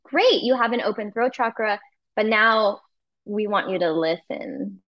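A woman guest chats eagerly on a podcast, filling her sentences with likes and ums. Her audio is slightly dull and muffled, dry and close, and her pitch sits mid-range. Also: unhurried, no room sound. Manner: warm, enthusiastic, uses "like" and "um" a lot. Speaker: guest, sound healer. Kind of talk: casual podcast interview.

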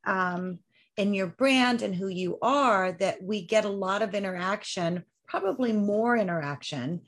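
A woman's voice: mid-range voice, unhurried. Woman podcast host, casual and encouraging, asks leading questions. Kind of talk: casual interview talk.

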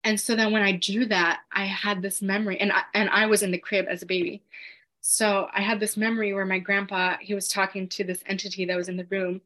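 Woman telling her story, kinda monotone, lots of "like" and restarts, earnest. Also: mid-range voice. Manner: earnest, halting, many fillers and restarts. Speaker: guest. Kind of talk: personal testimony in conversation.

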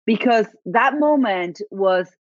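A woman's voice: medium pitch, lively intonation, slow pace, no echo, muffled recording. A woman in her forties from Argentina, a native Spanish speaker with a thick Argentine accent, telling her story as an interview guest with frequent laughs and restarts. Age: 40s. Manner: reflective, laughs often, many restarts.

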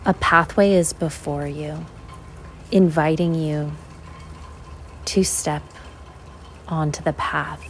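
Low-pitched, slow-speaking woman guiding meditation. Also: steady tone, slight background noise. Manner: unhurried, measured, with long pauses. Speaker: guided meditation host. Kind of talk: slow guided visualization.

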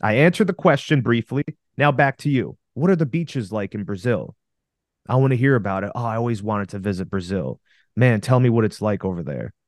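A man's voice: lowish voice, animated, a little fast, a little mellow, no echo, studio quality. A man co-hosting a podcast, coaching male listeners on dating with emphatic, direct advice. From Russia. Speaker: podcast co-host.

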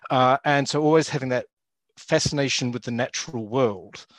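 A man with a New Zealand accent, a zoo vet talking in a relaxed interview, with many ums and uhs. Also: medium pitch, normal speed, dry recording. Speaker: guest, zoo veterinarian and lecturer. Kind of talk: casual interview talk.

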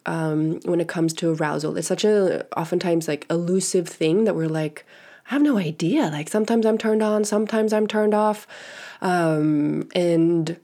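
A woman's voice: sits low, dark tone. A woman in her thirties with a congested nose, hosting a solo podcast in a frank, chatty way with fillers and the odd laugh. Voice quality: nasal from congestion. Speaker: podcast host and sexuality coach.

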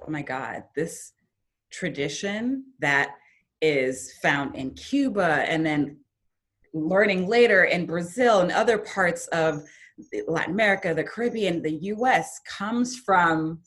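A woman guest on a podcast, telling a personal story in an earnest, reflective way that turns lively when she quotes her younger self. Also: deep voice, takes it slowly.

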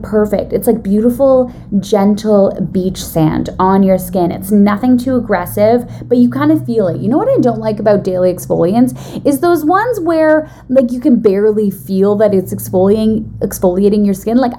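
Woman podcast host, soft mellow voice, chatty n expressive, bit of low rumble behind her. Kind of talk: casual chat and conversational sponsor read.

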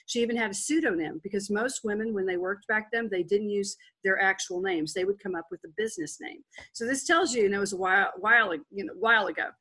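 A woman's voice: medium pitch, animated, fast, hardly any pauses, sharp tone, breathy edge. Middle-aged woman from Texas, candid. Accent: softened Texas. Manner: candid, frank, with fillers and restarts. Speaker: guest telling her life story. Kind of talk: personal storytelling interview.

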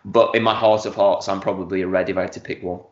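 A man's voice: deep voice, steady tone, quick pace, reverberant, highs cut off. A man, chatting as a podcast guest and often repeating words as he thinks. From northern England. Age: 40s. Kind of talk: casual interview chat.

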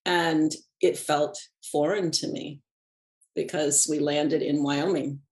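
A middle-aged woman guest in a reflective conversational interview, speaking thoughtfully and unhurriedly with soft laughs between thoughts. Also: low voice, steady tone, slow. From United States. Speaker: podcast guest, animist and ritualist.

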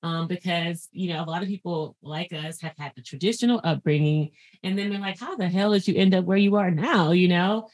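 A woman's voice: fairly low pitch, fairly quick, few pauses, a little mellow. A woman hosting a podcast interview, effusive and chatty, laughing often and restarting her sentences. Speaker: podcast host. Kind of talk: casual interview chat.

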